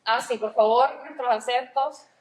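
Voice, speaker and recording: A woman's voice: fairly high pitch, normal speed, poor audio. A woman with a Nicaraguan Spanish accent, explaining things conversationally in a semi-professional register as a therapist and podcast co-host.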